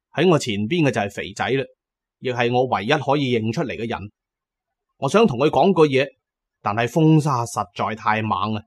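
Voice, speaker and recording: A man's voice: medium pitch, slightly rough. A man narrating a first-person adventure story as a dramatic audiobook storyteller.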